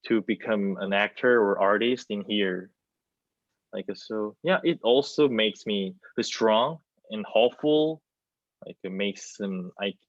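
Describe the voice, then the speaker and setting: Young man, Korean accent, lowish voice, slow and hesitant, dull dry video call audio. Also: dry recording. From South Korea. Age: young adult. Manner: hesitant, searching for words. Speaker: student panelist, aspiring actor. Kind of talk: unscripted discussion answer. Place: plain room indoors, video call. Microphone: wireless earbuds on video call.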